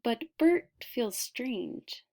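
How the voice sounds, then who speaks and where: A woman with a slightly high voice narrates a children's storybook. She takes her time, very unhurried and deliberate. Her expressive reading uses emphasis and pauses for drama. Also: creaky. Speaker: picture book reader.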